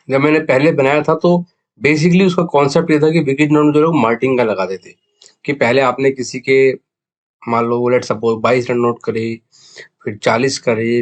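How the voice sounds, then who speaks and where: A man with an Indian accent and a sore throat gives a casual explainer monologue as a video host, mixing two languages conversationally. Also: medium pitch. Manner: conversational Hindi-English code-mixing, explanatory. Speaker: video host, cricket betting tipster.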